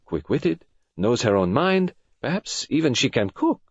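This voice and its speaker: A man playing a polite, brisk foreign detective with a French-speaking accent and formal, precise phrasing in an audiobook. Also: mid-range voice, sing-song, a little mellow, highs cut off, slight background noise, pitched above the performer's own voice. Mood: satisfied.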